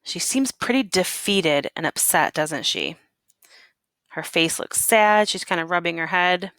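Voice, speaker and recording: A woman teacher reading a picture book aloud expressively, with deliberate emphasis on key words and questions for young pupils. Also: fairly low pitch, lively intonation, a bit bright, no echo.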